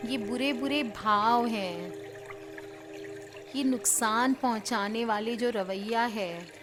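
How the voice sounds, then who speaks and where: Slow, higher-voiced Indian woman guiding meditation. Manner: measured, pausing between short phrases. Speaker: guided meditation leader. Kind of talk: guided meditation, spiritual healing talk. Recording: clear background noise of trickling water.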